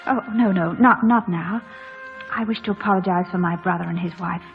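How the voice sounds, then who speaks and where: Headstrong young gentlewoman, dull radio sound. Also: fairly low pitch, a little fast, vocal fry. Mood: shyly polite. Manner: genteel, pert, forthright. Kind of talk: 1940s radio drama acting. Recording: dull old radio broadcast, music behind.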